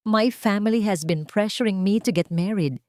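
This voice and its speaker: A woman's voice: mid-range voice, normal speed, darkish tone, no echo, studio quality, natural voice. A woman voicing a young billionaire CEO in a romance audio story, blunt, businesslike and controlled. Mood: cold, firm. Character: commanding, cool young male billionaire CEO.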